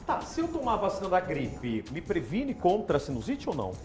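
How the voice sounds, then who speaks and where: A hoarse man with a Brazilian Portuguese accent, hosting a TV health interview in a chatty, playful way in a studio. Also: sits high, huge pitch swings, a little fast, over music. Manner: chatty, asks questions with playful disbelief. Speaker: TV show host.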